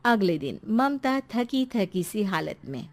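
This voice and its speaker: A woman's voice: medium pitch, sing-song, a little slow. A woman narrating a scripted family moral story as its storyteller.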